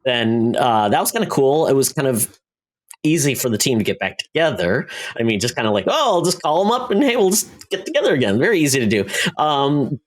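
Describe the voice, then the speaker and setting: Laid-back man with a mid-pitched voice. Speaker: podcast co-host. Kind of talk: casual TV review chat.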